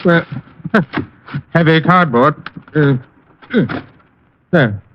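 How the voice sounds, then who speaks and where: An actor playing a fussy, formal gentleman, flustered and anxious, in an old radio drama. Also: fairly high pitch, very slow, slight background noise. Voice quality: clicking false teeth. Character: fussy, anxious, formal male blackmail victim. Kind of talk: 1950s radio drama acting. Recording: dull old radio recording.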